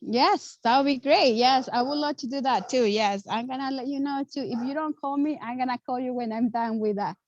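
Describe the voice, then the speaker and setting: A woman whose first languages are a dialect and Spanish talks in English with many fillers and restarts, encouraging beginners. Her voice sits a little high. It comes through a dry, muffled video call connection. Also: a little fast, hardly any pauses, no room sound. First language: a dialect, then Spanish. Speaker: real estate investor guest.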